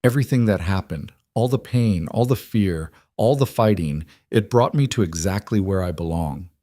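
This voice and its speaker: A man voicing a young woman who speaks quietly and firmly. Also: low-pitched, animated, moderate pace, no room sound, clean studio sound. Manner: firm, quiet. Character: resolute young female rancher's wife.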